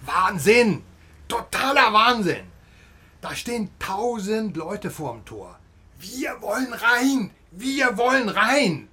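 An actor playing an excitable, chatty West Berlin journalist in a radio drama, halting and exclaiming as he talks to a friend. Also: sits high, husky, exaggerated voice. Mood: excited, breathless recounting. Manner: halting, animated, swinging from murmur to exclamation. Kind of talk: naturalistic radio drama dialogue.

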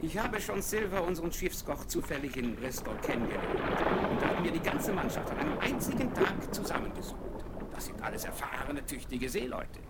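A lively, commanding nobleman. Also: fairly high pitch, fairly quick, heavy background noise. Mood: defensive. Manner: commanding, enthusiastic planner. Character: eager, self-assured nobleman, middle-aged man.

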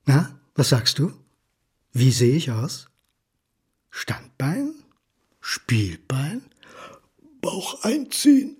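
An actor playing a nervous, sardonic man of forty-nine, wounded and over-eager, in theatrical, halting one-sided talk in a radio drama. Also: mid-range voice, huge pitch swings, darkish tone, full character voice. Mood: theatrical, self-mocking. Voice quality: husky, breathy. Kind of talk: audio drama acting.

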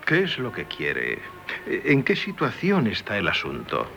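An actor playing a courteous, worldly prince and trusted confidant in a 1970s radio drama, with a formal, reflective delivery. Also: male voice, lowish voice, wildly swooping pitch, unhurried, gravelly, pushed and bright, soft background music, heavily acted. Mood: inquiring. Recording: faintly noisy 1970s radio recording.

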